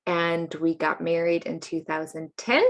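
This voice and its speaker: Lady in her late 30s, lowish voice, chatty and open, laughs a lot telling her story. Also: little pitch movement, unhurried, creaky. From Germany. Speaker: guest, coach and healer. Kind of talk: personal storytelling in an interview.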